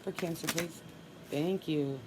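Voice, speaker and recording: Low-voiced, stuffy-nosed woman reading tarot. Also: unhurried, background noise. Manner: calm, softly repeats requests while drawing cards. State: stuffy nose from allergies. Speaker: tarot reader.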